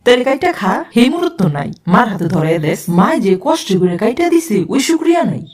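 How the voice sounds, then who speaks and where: A woman's voice: on the high side, big pitch swings, fast, slight background noise. A woman voicing a hot-tempered, sarcastic mother in a cartoon, nagging her daughter. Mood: angry nagging. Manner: nagging, sarcastic, shouting rebukes. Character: hot-tempered scolding Bangladeshi mother, middle-aged. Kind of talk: cartoon voice acting.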